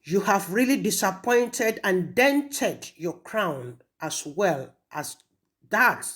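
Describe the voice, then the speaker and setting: A woman with a Nigerian Yoruba accent, speaking accusingly and emphatically in an impassioned public rebuke, with pointed pauses. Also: medium pitch, animated, a bit bright. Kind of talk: impassioned public rebuke, switching between languages.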